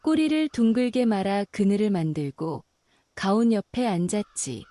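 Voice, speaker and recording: A woman narrating a bedtime fairy tale in a calm, slow and soothing way. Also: medium pitch, dry recording, clean studio sound. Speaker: storyteller.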